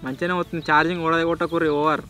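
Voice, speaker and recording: Telangana telugu guy hosting a vlog, talks super fast, kinda high voice, slangy and bantering. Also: little pitch movement, loud music behind the voice. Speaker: video host. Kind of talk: casual vlog chat.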